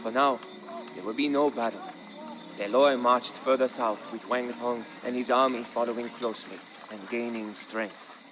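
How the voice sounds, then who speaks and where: A man reading a scripted, dramatic historical narration in a measured, solemn storytelling manner. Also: medium pitch, steady tone, background noise. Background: background music.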